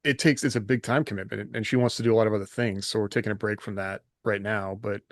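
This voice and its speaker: A man's voice: fairly low pitch, fairly even intonation, fast, dry recording, studio quality. A middle-aged American man chatting casually as a podcast co-host, candid and self-deprecating. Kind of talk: casual chat.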